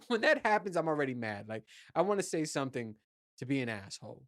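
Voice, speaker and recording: A man with a low voice, hosting his own show and telling a loose, profane travel story with lots of fillers and restarts. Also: wildly swooping pitch, normal speed, dry recording, clean studio sound. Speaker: podcast host. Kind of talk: casual solo chat.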